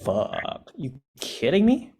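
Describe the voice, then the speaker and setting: Woman reading a shy jokey teen boy, very low voice, bouncy pitch, stumbles over words. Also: huge pitch swings, takes it slowly, dark tone, breathy edge, heavily acted. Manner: hesitant, stumbling, self-deprecating. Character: awkward, joking teenage boy. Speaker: actor at a table read. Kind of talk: script table read.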